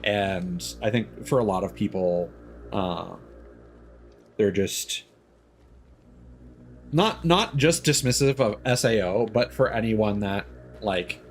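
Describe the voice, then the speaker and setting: A man's voice: on the low side, slight background noise. A young man streaming a video game, talking casually and frankly, rambling and swearing freely. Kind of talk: casual gameplay commentary.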